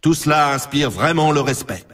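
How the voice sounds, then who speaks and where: A man's voice: on the high side, expressive, normal speed, fairly bright tone, distant mic. A man playing a grandiose, emphatic announcer for an extreme snowboarding championship in a video game. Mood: grandiose, solemn. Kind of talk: scripted dramatic sports game announcing.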